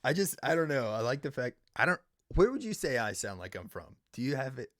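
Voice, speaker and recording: A man's voice: medium pitch, fairly quick, dry recording, clean studio sound. A man from Tennessee hosting a livestream podcast, chatting casually with lots of laughs and backchannel fillers. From Tennessee, southern United States. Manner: animated and laughing, with many fillers. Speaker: podcast host.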